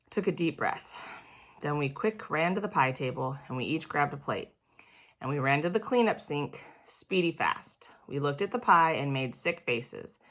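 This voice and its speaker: A woman storyteller reading a children's book aloud in an expressive, animated way with a childlike first-person delivery. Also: sits low.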